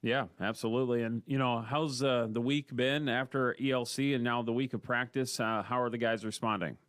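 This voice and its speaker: Clear-voiced American sports radio host. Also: male voice, mid-range voice, fairly even intonation, fairly quick, a bit bright, no echo, clean studio sound. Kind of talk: pregame show interview.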